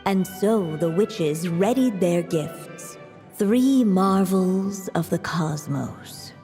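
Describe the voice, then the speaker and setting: A gentle fairy tale narrator, unhurried and deliberate. Her slightly low voice carries a long reverb with soft music underneath, in storybook game style. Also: expressive, heavily acted. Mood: tender.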